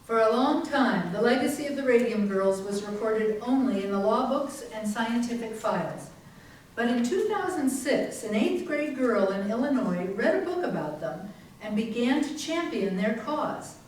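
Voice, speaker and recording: A woman delivers scripted readers theater narration. Her voice is on the high side, carried through a very echoey room with slight background noise. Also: expressive, moderate pace, a little mellow. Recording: distant mic, very echoey room, faint noise.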